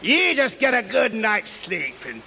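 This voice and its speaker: An actor playing a shifty small-town bus owner in a radio horror drama, falsely helpful, with a raspy creak and hesitant rustic dialect. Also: male voice, high voice, huge pitch swings, a little slow, sharp tone, slight background noise, exaggerated voice. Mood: oily, ingratiating.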